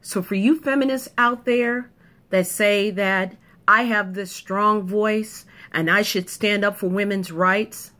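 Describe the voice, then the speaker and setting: A woman's voice: mid-range voice, fairly even intonation, slow, fairly bright tone. A woman delivering an emphatic, punchy opinion monologue to camera, repeating points for effect. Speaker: video commentator.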